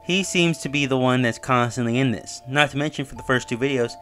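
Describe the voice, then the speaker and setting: A man's voice: mid-range voice, fairly quick, fairly bright tone, faint music behind. A man hosting his own channel, casually recapping and reviewing a horror web series, talking loosely with fillers and self-corrections.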